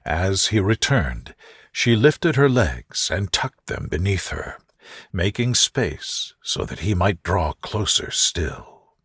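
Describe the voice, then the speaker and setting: A man narrates a sleep story in a low, slightly husky voice. His pace is slow and his manner soft and soothing, with a gently expressive rise and fall. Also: no room sound, clean studio sound. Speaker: narrator, reader.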